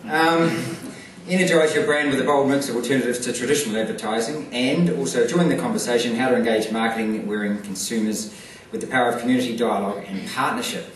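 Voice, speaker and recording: Male event host, fast and halting, mic far off in a reverberant room with a faint hum. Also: medium pitch. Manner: halting, filler-heavy delivery. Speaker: event host introducing the speaker. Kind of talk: formal introduction. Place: conference hall. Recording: echoey hall, distant mic, faint noise.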